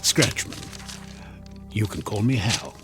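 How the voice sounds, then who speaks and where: A man's voice: lowish voice, animated, takes it slowly, over loud music. An actor playing a sly, devilish door-to-door salesman in a radio drama, with glib, coaxing, theatrical sales patter. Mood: folksy, ingratiating. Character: sly devilish door-to-door salesman peddling a time-bending clock. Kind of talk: modern radio drama acting.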